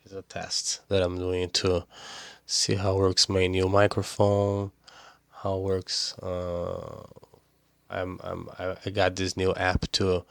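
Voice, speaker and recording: Portuguese-native man, young, very low and monotone, halting vlog delivery with lots of "uh", dry close sound. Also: gravelly, no room sound. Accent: Portuguese-speaking. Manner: halting, repeats words, many fillers. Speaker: pressure washing business owner. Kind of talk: casual vlog talk to camera.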